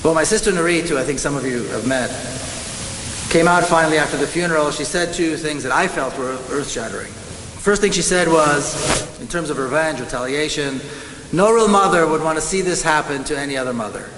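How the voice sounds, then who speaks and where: A man's voice: a little high, fairly bright tone. Impassioned middle-aged Israeli man, echoey hall. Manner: impassioned, emphatic, measured with slow deliberate stresses. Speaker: activist speaker. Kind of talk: conference speech. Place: large conference hall. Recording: echoey hall with faint hiss.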